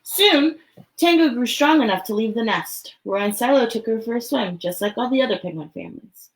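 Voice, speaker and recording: A woman hosting a children's story time, reading aloud and chatting informally, often thinking out loud as she goes. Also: on the high side, animated, brisk, low-quality recording. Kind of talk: reading a picture book aloud, then casual chat to children.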